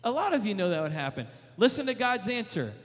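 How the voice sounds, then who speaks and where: A man delivers a sermon with emphatic, repeated rhetorical questions. His voice sits high for a man. The sound rings with the long reverb of a large hall. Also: sing-song, fast talker. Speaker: youth preacher.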